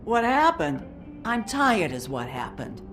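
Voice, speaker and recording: An actress playing a tough, world-weary bartender in a video game, blunt and fed up. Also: female voice, medium pitch, sing-song, slow, fairly bright tone, soft background music.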